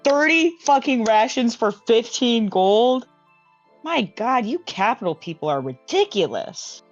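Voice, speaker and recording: A woman's voice: a little high, sing-song, measured pace. A woman playing an indignant customer haggling scornfully in a tabletop game. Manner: loud, scornful, exasperated. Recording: dry, muffled audio with music underneath.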